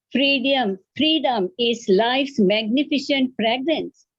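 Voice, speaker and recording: Female poet, Indian accent, high pitch, declamatory recital, dull lo-fi online-call sound. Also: no echo. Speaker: poet, event participant. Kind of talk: poetry recitation. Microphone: online group call.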